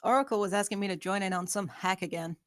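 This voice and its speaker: A woman's voice: medium pitch, fast, close to an everyday voice. A woman playing a sardonic young female hacker, casual, dismissive and slangy, in a screenplay table read.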